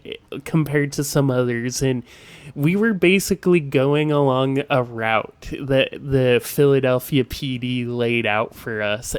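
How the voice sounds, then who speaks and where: A man hosting a podcast in casual conversation, with a smooth voice and a halting way of talking full of asides. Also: medium pitch, no room sound. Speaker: podcast host.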